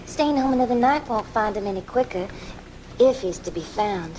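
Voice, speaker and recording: A woman's voice: fairly high pitch, expressive, moderate pace, close to an everyday voice. An actress playing a kindly, reassuring neighbour minding a boy in a 1980s radio drama, taped off the air. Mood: coaxing, reassuring. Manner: coaxing, reassuring. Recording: noisy amateur off-air radio tape.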